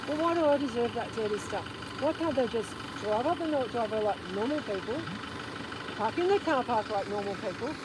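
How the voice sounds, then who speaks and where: High-voiced woman, Australian accent, aggrieved, argumentative, rhetorical questions, noisy outdoor setting. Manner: insistent, repetitive, rhetorical questions. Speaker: resident making a complaint. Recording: noisy outdoors, engine and vehicle sounds.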